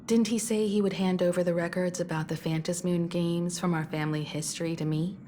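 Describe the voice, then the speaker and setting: Woman playing a calm grown-up mentor lady, lowish smooth voice, game music under it. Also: slight background noise, lightly acted. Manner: calm, measured, reassuring. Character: composed, mature woman mentor. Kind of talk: video game voice acting. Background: game soundtrack music.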